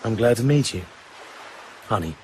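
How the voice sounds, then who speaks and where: An actor playing a cool, self-assured English secret agent in a radio drama, probing and protective, with clipped, understated delivery. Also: male voice, lowish voice, lively intonation, moderate pace, darkish tone, slight background noise, lightly acted, low-quality recording. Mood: smooth, amused.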